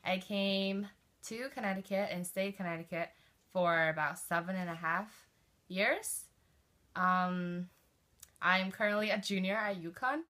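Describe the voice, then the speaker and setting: A woman's voice: medium pitch, fairly even intonation, measured pace, bright, forceful tone. A woman, casually answering interview questions with frequent ums. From China. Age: 20s. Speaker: student interviewee.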